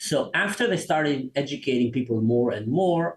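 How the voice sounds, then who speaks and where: A man from Israel with an Israeli accent, whose first language is Arabic, telling stories as an interview guest in a lively, quick way with many restarts. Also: medium pitch. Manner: animated, fast storytelling with many restarts. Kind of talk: conversational interview.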